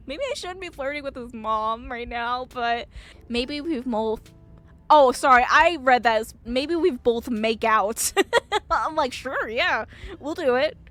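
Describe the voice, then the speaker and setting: A woman with a high voice streams a visual novel. She is excitable and expressive, quick to giggle. Her delivery moves between reading the text aloud and giving her own live commentary. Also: soft background music.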